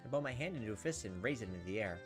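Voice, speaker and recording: A man's voice: lowish voice, lively intonation, racing, music in the background. A man reading a visual novel aloud as a let's play host, with casual, crude joking asides.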